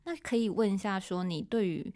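A woman's voice: mid-range voice, brisk, warm tone. A woman with a Taiwanese Mandarin accent hosting a casual podcast interview, engaged and reflective as she responds to her guest. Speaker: podcast host and scriptwriter.

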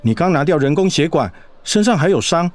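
Actor as lively, higher-voiced husband. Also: male voice, brisk, no echo, soft background music, close to an everyday voice. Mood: tender, concerned. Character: caring middle-aged husband, fretful but doting father. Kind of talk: radio drama acting.

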